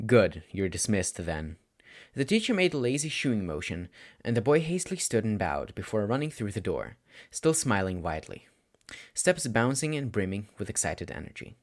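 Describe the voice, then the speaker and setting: Low-voiced man narrating a story. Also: sing-song, normal speed. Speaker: podfic narrator.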